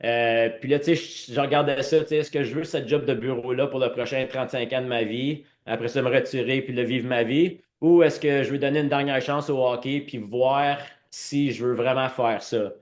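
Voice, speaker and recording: Male podcast guest, French Canadian accent, medium pitch, conversational storyteller who mixes in English words. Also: steady tone, normal speed, hardly any pauses. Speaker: podcast guest, former hockey player.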